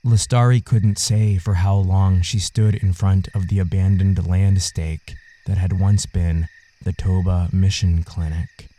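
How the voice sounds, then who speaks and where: A man narrating a literary audio story in a measured, solemn way. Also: low-pitched, steady tone, dark tone.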